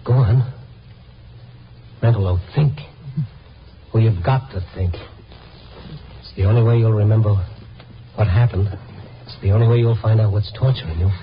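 An actor playing a probing newspaper reporter in a 1950s radio drama, urgent, coaxing and moody. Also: male voice, lowish voice, a little slow, pauses often, slight background noise, lightly acted. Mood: urgent, pressing. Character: probing night-beat newspaper reporter, a grown man, narrating. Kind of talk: 1950s radio drama acting and first-person narration. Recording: muffled old radio broadcast, loud music behind.

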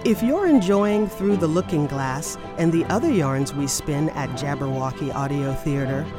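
A woman's voice: sits low, lively intonation, normal speed. A woman reading scripted credits as a radio show announcer in a polished, formal manner. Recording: music under the voice.

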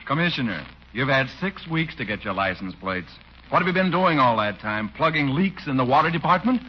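An actor playing a curt, sarcastic, unhelpful clerk in a 1940s radio comedy. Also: male voice, medium pitch, big pitch swings, a little fast, breathy edge. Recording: muffled, noisy 1940s radio recording.

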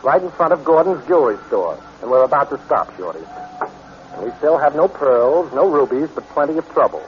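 A man's voice: mid-range voice, sing-song, a little fast, lightly acted. A breezy reformed crook from a 1940s radio play, played by a man, glib and teasing. His delivery swings freely up and down. The old recording is dull with a light layer of noise. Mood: confident, teasing. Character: breezy, wisecracking male reformed-thief adventurer with a good heart.

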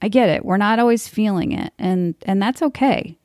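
A woman's voice: mid-range voice, normal speed, darkish tone, dry recording, clean studio sound. A woman in her forties hosting a podcast, talking in a frank, blunt and wry way. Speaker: podcast host. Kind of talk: scripted podcast monologue.

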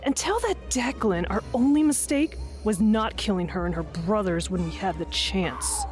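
A woman's voice: medium pitch, expressive, moderate pace, darkish tone, faint music behind, lightly acted. A woman playing a smug, defiant fugitive with a bounty on her in an audio drama.

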